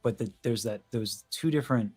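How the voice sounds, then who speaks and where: A young man breaks down singing technique in a relaxed, analytical chat. His pitch sits in the middle for a man. Faint music plays under his voice. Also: fairly quick, husky. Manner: analytical, explains vocal technique in detail. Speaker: host, vocal coach. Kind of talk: casual video-call chat about singing.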